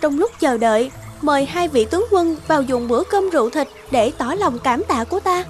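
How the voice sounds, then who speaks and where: A woman voicing a composed, proud young princess with a formal, measured manner in a cartoon folk tale. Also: high voice, lively intonation, faint music behind. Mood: gracious, calm.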